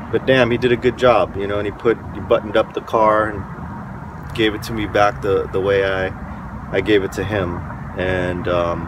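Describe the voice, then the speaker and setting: A man with a stuffy nose and sore throat, talking in a rambling, frank way in a casual vlog from a parked van. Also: lowish voice. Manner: rambling, frank, stop-start. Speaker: van life vlogger. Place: parked van outdoors, windy lot. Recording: outdoor wind and traffic noise.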